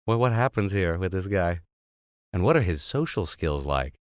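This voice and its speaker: Man, fairly deep, expressive swings in pitch, comic audiobook reading, wry asides. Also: normal speed, no room sound, studio quality. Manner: playful, digressive, sardonic. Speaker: host and reader of a classics podcast.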